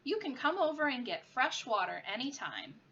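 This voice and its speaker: Storybook soda jerk read by a woman: welcoming, generous fellow, casual, bright and very animated. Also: sits high, normal speed, distant mic, highs cut off, slight background noise, voice pushed up, full character voice. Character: friendly, generous male soda counter man.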